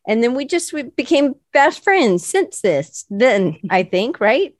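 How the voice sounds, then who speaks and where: A woman's voice: fairly high pitch, sing-song, slow, no room sound, studio quality. A woman hosting a casual interview who introduces her guest with enthusiastic, effusive praise. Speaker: host.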